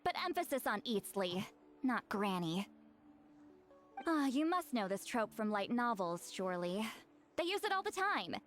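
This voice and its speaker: A woman's voice: on the high side, sing-song, unhurried, a bit bright, soft background music. A woman playing a proud, prickly game shaman who is called granny but seems young, haughty and theatrical. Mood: defensive, haughty. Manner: theatrical, haughty, huffy sighs and throat-clearing. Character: youthful-looking granny shaman, prickly, proud, easily embarrassed.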